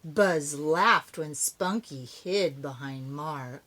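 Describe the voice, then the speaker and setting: A woman's voice: low voice, extremely slow, fairly bright tone. An older woman reading a children's story aloud expressively, adding chatty asides to her young listeners. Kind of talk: animated read-aloud storytelling.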